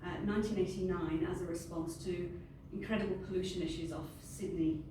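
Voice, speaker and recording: A woman's voice: on the low side, steady tone, brisk. A middle-aged Welsh woman whose first language is Welsh, giving a friendly, unscripted talk to a group. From Wales. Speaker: industry panel speaker. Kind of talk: informal presentation to a group. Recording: very echoey room, distant mic, ambient noise.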